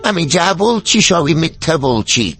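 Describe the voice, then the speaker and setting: High, swooping henpecked cartoon fox husband played by a man. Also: very animated, moderate pace, fairly bright tone, soft background music. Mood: coaxing. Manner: wheedling, exclamatory, colloquial village talk. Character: henpecked, lazy older male fox husband, coaxing and excitable. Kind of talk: cartoon story dialogue.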